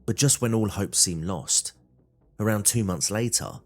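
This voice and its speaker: Man, low pitch, scripted true-crime narration, documentary host, background music. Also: unhurried.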